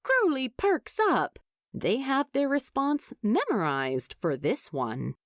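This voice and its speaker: A woman's voice: high voice, very animated, slow, background noise. A woman narrating a scripted story expressively, as an audiobook reader would. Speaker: fan fiction narrator.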